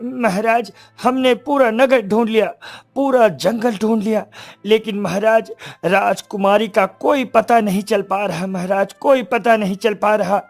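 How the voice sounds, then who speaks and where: High nervous cartoon servant, very deferential, faint music under. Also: male voice, steady tone, measured pace. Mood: anxious. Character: anxious male royal servant reporting to his king. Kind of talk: Hindi cartoon story voice acting.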